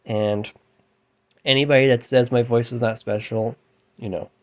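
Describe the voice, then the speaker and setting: A man's voice: medium pitch, steady tone, normal speed. Frustrated man in his thirties, light voice. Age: 30s. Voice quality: light, androgynous voice. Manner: frustrated, self-deprecating, trailing off and restarting; keeps his voice down, with loud bursts. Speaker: musician and vlogger. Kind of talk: rambling late-night rant to camera.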